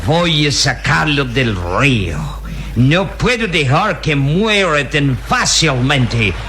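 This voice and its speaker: A man's voice: on the high side, big pitch swings, takes it slowly, bright, forceful tone, heavily acted. A man playing a cruel, vengeful captain in an old radio drama, theatrical and gloating. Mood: vengeful menace.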